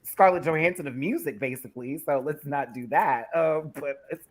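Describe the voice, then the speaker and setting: A man in his thirties hosting a panel show, chatting in a campy way with quick asides about runway looks. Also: on the high side. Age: 30s. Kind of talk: casual panel chat reviewing runway looks.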